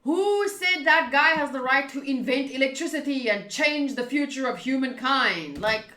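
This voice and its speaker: A young woman streaming a visual novel, reacting casually and thinking aloud in quick, trailing asides. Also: sits high, lively intonation, pushed and bright, roomy sound.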